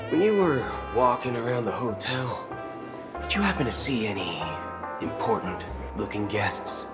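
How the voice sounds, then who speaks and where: A brash, scheming cyborg gunslinger. He talks slowly with a swaggering, mocking edge, and his pitch swings widely. Loud game music plays under him. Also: sing-song. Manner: swaggering, mocking, swaps curses for mild words. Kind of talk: video game voice acting.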